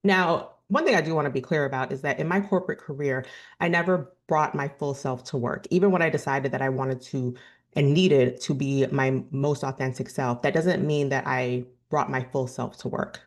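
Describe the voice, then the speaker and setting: A woman in her thirties with a deep register, earnestly telling a personal story to camera and often correcting herself mid-sentence. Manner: earnest, thoughtful, with frequent self-corrections and "you know". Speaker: vlogger.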